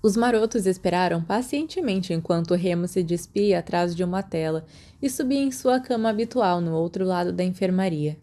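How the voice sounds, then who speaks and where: A woman's voice: fairly low pitch, animated, normal speed, dark tone. A Brazilian woman reading a story aloud as scripted audiobook narration. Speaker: podfic narrator.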